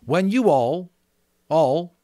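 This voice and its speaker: A man's voice: a little high, lively intonation, drawn-out words, dark tone, no echo, clean studio sound, voice pushed up. A man reading a novel aloud, playing an earnest boy who leads a meeting with emphatic, declarative speech. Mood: grave, insistent. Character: earnest fair-haired boy chairing a meeting as leader. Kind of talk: amateur dramatic reading of a novel.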